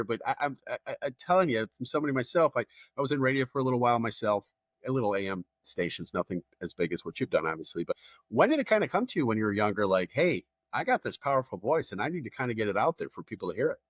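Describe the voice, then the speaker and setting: A man's voice: medium pitch, very animated, very fast, dry recording. A man hosting a business podcast, asking long, rambling questions with enthusiasm. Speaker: podcast host. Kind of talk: casual interview talk.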